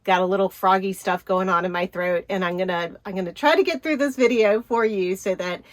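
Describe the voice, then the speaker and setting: A middle-aged woman with a stuffy, hoarse voice from a cold, chatting upbeat through a shopping wish-list vlog. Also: medium pitch, a little fast. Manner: chatty, upbeat, with little laughs. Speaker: travel vlogger.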